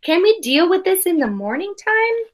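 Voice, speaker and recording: Woman acting a hushed, weary storybook dad. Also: very high voice, very animated, moderate pace, pitched above the performer's own voice. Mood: weary pleading. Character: weary, reluctant storybook dad woken at night.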